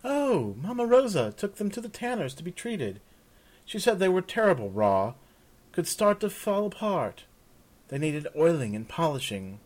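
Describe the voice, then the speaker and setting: A man's voice: a little high, very animated, moderate pace, a little mellow, voice pushed up. A man voicing an eager, deferential young servant girl with polite, old-fashioned speech in a fantasy story. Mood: apologetic. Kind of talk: fantasy audiobook character.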